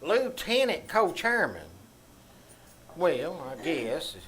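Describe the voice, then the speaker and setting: Man acting a fussy, high-voiced Southern country storekeeper. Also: sing-song, slow pace, a bit bright, exaggerated voice. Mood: agreeable, puzzled. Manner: folksy, stammering, querulous. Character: childlike, fussy, easily distracted rural storekeeper. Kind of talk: old-time radio comedy acting. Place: small-town theater stage. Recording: live stage, faint background noise.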